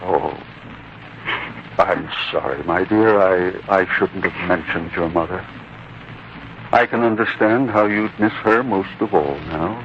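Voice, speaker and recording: A man acting a kindly, humble old scholar in a 1940s radio drama, speaking in a halting, courteous, avuncular way. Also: low-pitched. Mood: tender, apologetic. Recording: muffled, noisy 1940s radio recording.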